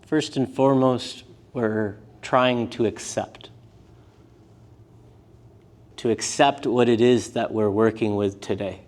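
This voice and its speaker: A man leads a live yoga and meditation class in a mid-pitched voice. He speaks in short, gentle phrases, unhurried, pausing often as he guides the group through the practice. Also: fairly even intonation.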